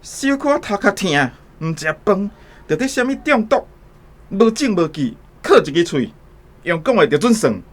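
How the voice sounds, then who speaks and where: An actor playing a slick, dismissive factory manager in a radio play. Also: male voice, high voice, big pitch swings, moderate pace. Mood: scoffing. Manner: scoffing, dismissive.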